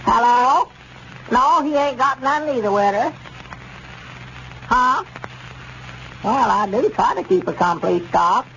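An actor playing a folksy, easily puzzled old country storekeeper with a rural Southern accent in a 1930s radio comedy. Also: male voice, extremely high pitch, lively intonation, slow, long pauses, sharp tone, exaggerated voice. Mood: polite, puzzled. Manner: homespun, plain-spoken, grumbling. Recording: dull, noisy 1930s radio recording.

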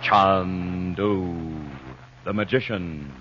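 A man announcing and narrating an old-time radio show in a theatrical, deliberate, emphatic scripted style. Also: low voice, heavy background noise. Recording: dull old radio recording, faint music.

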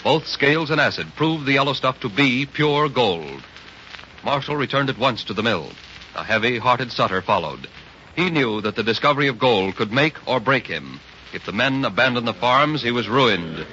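A man's voice: medium pitch, lively intonation, normal speed, bright, forceful tone, a little hiss or hum. A man narrating a history drama in a dramatic 1930s radio style. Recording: muffled 1930s radio recording. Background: dramatic music.

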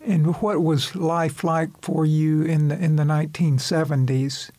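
A man's voice: fairly high pitch, steady tone, unhurried, mellow, no echo. A man conducting a radio interview, asking questions in a hesitant way with stammered restarts.

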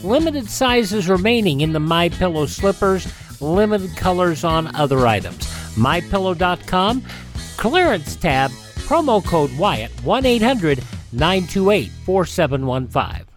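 A man's voice: high-pitched, sing-song, measured pace, faint music behind. A man hosting a radio program, presenting and reading ads in a scripted style. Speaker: radio host.

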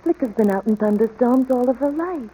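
An actress playing an anxious, tender ranch wife and mother in an old radio drama, speaking softly and earnestly. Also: on the high side, expressive, fairly quick, husky. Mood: soothing. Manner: soft, earnest, pleading. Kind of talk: 1940s radio drama acting. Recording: old noisy radio broadcast.

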